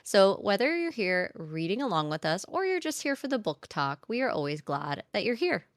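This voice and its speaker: A woman's voice: mid-range voice, very animated, normal speed, no room sound, clean studio sound. A woman co-hosting a book podcast, chatting casually and upbeat with lots of fillers, sounding nasal from a cold. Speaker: podcast co-host.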